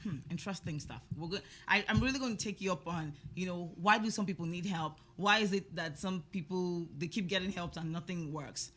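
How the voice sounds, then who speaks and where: A woman's voice: lowish voice, brisk, few pauses, slight background noise. A woman from Africa hosting a talk show, chatting and laughing often as she questions her guests. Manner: laughs often, animated and teasing. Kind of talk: casual interview chat.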